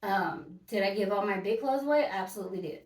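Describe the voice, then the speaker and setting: A woman's voice: mid-range voice, fast. Woman, early fifties, casual vlog talk, emphatic, repeats herself, roomy echo. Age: 50s. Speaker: vlogger. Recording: echoey room, mic at a distance.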